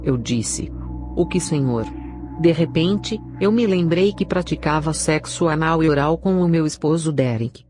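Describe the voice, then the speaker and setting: Woman, Brazilian accent, low-pitched, scripted testimony narration, dramatic religious style, clear music bed. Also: a little slow, darkish tone. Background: prominent music.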